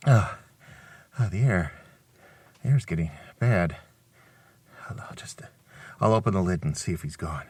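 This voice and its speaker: A man's voice: low voice, expressive, normal speed, pauses often, a little mellow, breathy edge, no room sound. A man playing a panicked, gasping, stammering man trapped in a coffin in a horror radio drama. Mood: fearful, muttering.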